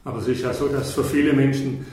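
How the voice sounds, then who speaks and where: A man conducts the interview with measured, formal questions. His voice is mid-pitched and heard from some distance away. A strong room echo surrounds it. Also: fairly even intonation, darkish tone. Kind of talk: radio interview. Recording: echoey room, off-mic.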